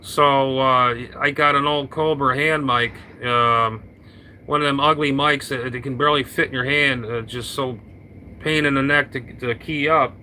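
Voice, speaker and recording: A man chatting casually over his radio, rambling and halting with lots of fillers and restarts, talking into an old hand mic. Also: medium pitch, steady tone, sharp tone, a little hiss or hum, poor audio. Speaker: radio operator. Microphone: vintage hand mic on a radio.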